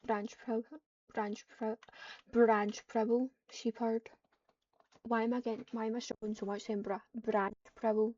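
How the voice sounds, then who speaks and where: Female roleplay gamer, mellow and slightly high, casual halting narration, muffled noisy home recording with typing. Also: level tone, recorded from a distance. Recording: dull lo-fi mic, noisy, keyboard clicks.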